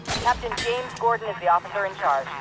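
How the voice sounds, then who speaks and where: High-voiced police dispatcher. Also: male voice, animated, brisk. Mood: urgent. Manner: clipped, official. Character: brisk, official male police dispatcher. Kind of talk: video game police radio chatter. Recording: police radio, music behind.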